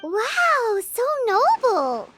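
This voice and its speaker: A woman voicing a tiny, chatty floating girl companion with a high, squeaky, childlike voice. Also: huge pitch swings, drawn-out words, heavy background noise, full character voice. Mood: chirpy. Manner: bubbly, exclamatory. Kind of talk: game voice acting.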